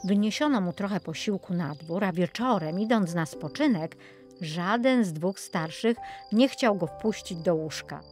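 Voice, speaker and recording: A woman's voice: fairly low pitch, animated, unhurried, a little mellow, soft background music. A woman narrating a children's fairy tale as an audiobook storyteller, reading from a script.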